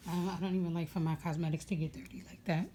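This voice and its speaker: A young woman with a raspy voice chatting casually at home, rambling with many fillers as she goes through her makeup bag. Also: lowish voice, fairly even intonation, quick pace, dark tone, a little hiss or hum. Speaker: beauty vlogger.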